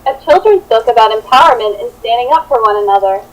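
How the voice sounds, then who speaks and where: Woman, young adult, fairly high pitch, student teacher, scripted read-out presentation. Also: animated, fast, low-quality recording. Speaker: student teacher presenting a children's book project.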